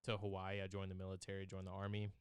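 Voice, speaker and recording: A young man from Alabama talks in an easygoing, rambling way, full of fillers. His voice is fairly low and very smooth, recorded dry and close. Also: monotone, quick pace, no echo. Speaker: podcast co-host. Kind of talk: casual chat.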